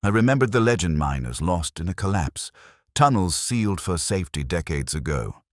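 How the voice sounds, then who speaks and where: A man voicing a calm, cerebral Victorian detective with measured, formal speech and quiet authority in an audiobook story. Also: deep voice, dry recording, studio quality. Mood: coolly deductive.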